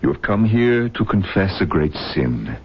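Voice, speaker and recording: An actor playing a gentle, fatherly village rabbi in an old-time radio drama, hesitant and questioning. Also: on the low side, very animated, a little slow, vocal fry, breathy edge, exaggerated voice. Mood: grave, fatherly. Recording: muffled, faintly noisy old radio recording.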